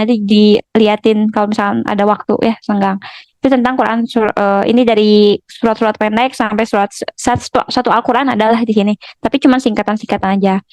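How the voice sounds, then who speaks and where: A woman's voice: fairly high pitch, no room sound. A woman teaching an informal online class, explaining conversationally and often adding small tag words to check agreement. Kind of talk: informal lesson, explaining and recommending resources.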